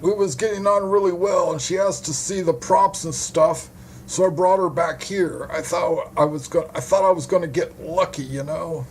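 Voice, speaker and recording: A man acts out a sheepish younger man in a detective story, his voice pitched up. He rambles defensively in loose, colloquial phrases. The recording is clearly noisy. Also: fairly even intonation, moderate pace, lightly acted.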